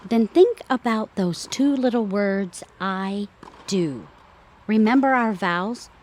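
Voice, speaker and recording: A woman's voice: medium pitch, animated, slow pace, a little mellow, slight background noise. A woman playing a wry, teasing, needy wife in a comedic audio play. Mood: firm. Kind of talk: comedic audio theatre acting.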